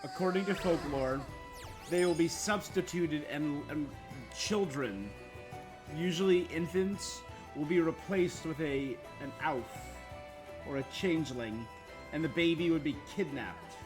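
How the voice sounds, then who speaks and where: A woman live-streaming a game with casual, halting commentary. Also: low voice. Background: game music.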